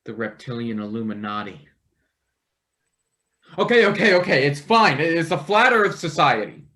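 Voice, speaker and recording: A man's voice: on the high side, sing-song, moderate pace, pushed and bright. A man playing a defensive, wisecracking young intern in a play reading, wry and earnest. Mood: flustered, sarcastic. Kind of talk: live table read of a satirical stage play.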